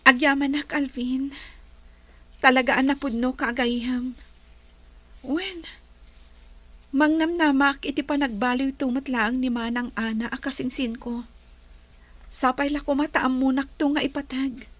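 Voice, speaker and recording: Meek, high-voiced young student. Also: female voice, steady tone, unhurried, pauses often. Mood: grateful. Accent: Filipino. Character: meek, patient, mistreated young female student. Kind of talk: radio drama acting.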